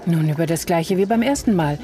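An actress playing an observant, talkative neighbour giving witness testimony in a radio crime drama, telling it deliberately and with emphasis. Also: female voice, lowish voice, a crowd in the background, natural voice. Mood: earnest, emphatic.

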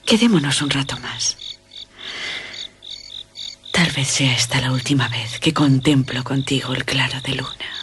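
An actress playing a languid, enigmatic young woman in a radio drama, speaking Castilian Spanish with breathy, passionate tenderness. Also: a bass voice, a little slow, pauses often, a bit bright, slight background noise. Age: young adult.

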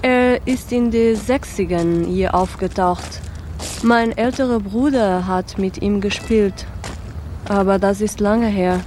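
Actress as slow, mellow female jazz singer. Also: medium pitch, a little hiss or hum, close to an everyday voice. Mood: calm, matter-of-fact. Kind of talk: naturalistic radio drama acting.

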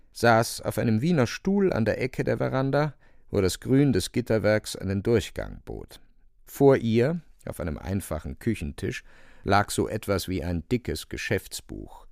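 Deep, creaky male storyteller, very lively. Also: no echo, clean studio sound. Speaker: narrator. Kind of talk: scripted literary narration in a radio drama.

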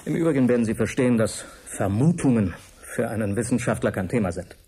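Evasive research director, radio drama. Rough-edged mid-pitched voice, curt and formal. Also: male voice, expressive, fast, warm tone. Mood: guarded. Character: guarded, evasive male professor and institute director. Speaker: actor.

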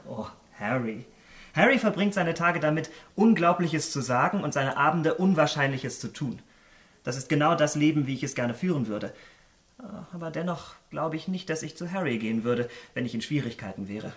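Schauspieler als junger, eleganter Herr, helle Männerstimme. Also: male voice, fairly high pitch, expressive, moderate pace, close to an everyday voice. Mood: soothing, light. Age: young adult. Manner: polished, formal diction. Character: elegant, secretive young gentleman, charming but guarded. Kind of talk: German radio drama acting.

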